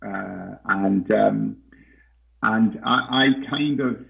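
Croaky man on a tinny line. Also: on the low side, extremely slow. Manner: hesitant, many fillers and restarts. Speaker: podcast guest, investment researcher. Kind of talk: casual interview talk.

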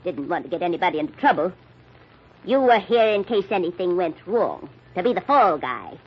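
An American actress playing a middle-aged, bitter and commanding murderer in an old-time radio mystery. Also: female voice, lowish voice, big pitch swings, fairly quick, slightly rough, muffled recording. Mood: grim confession. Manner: commanding, sardonic. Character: bitter, scheming older woman, a cornered murderer.

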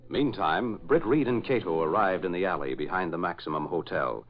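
A man's voice: low voice, normal speed. A man giving scripted narration for a 1940s radio drama. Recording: dull, noisy old radio recording.

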